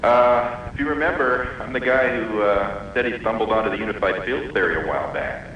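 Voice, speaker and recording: A man calling in to a radio show, chatting casually and haltingly. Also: fairly low pitch, a little hiss or hum. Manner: hesitant, frequent halting pauses. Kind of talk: casual, unscripted call-in talk. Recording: bright but dull-band, echoey, distant radio call.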